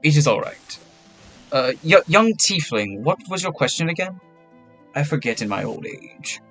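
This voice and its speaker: A man voicing an old male priest who speaks slowly and formally. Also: fairly high pitch, very animated, a bit bright, faint music behind, pitched above the performer's own voice, full character voice. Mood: courteous. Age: older. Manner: slow, formal, courteous.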